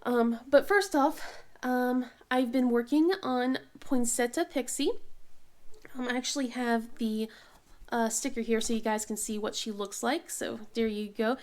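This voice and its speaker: A woman chatting casually as she works on a craft project, halting with many ums and restarts, sniffly from a cold. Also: on the high side, steady tone, a little mellow. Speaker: diamond painting vlogger. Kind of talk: casual chat while crafting.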